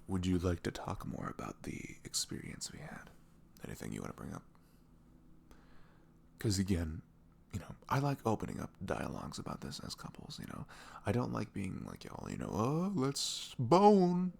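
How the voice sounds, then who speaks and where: A man playing a sweet, caring young boyfriend in an intimate ASMR roleplay, chatting casually and rambling in a velvety low voice. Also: wildly swooping pitch, moderate pace, creaky, darkish tone, heavily acted. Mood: open, musing. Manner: chuckling, informal, loosely rambling.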